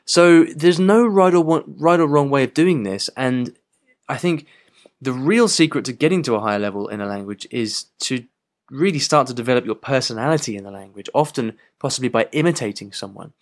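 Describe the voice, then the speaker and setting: A man's voice: mid-range voice, expressive, a little fast. A man with an English accent hosting a podcast, talking in a loose, chatty way with many fillers and restarts on a poor, broken microphone. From England. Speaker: podcast host. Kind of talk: casual podcast chat. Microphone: broken microphone with poor sound.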